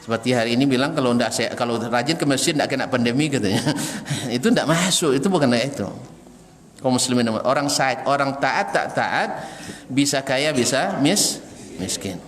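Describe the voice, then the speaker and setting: Animated male preacher in an echoing hall. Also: mid-range voice, moderate pace. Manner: animated, rhetorical questions, chuckles at his own examples. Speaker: Islamic preacher and religious teacher. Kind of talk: religious lecture to a congregation, with humorous asides. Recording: reverberant hall, some background noise.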